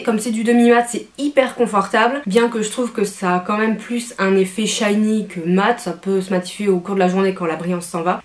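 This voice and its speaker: Chatty young Frenchwoman, higher-pitched voice. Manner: chatty, conversational, explains herself in asides. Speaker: beauty vlogger. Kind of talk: casual product review to camera.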